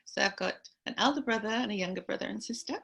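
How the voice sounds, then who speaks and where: A woman in her sixties, born and raised in Trinidad, chatting as a guest about her nursing career in a relaxed, storytelling way with easy laughter. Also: mid-range voice, fast talker, vocal fry, slightly rough. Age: 60s. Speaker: aesthetic nurse, guest. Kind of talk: relaxed conversational interview.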